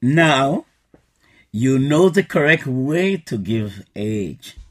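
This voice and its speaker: A man, teaching an English lesson in a slow, patient scripted style. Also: medium pitch, very animated, a bit bright. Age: 60s.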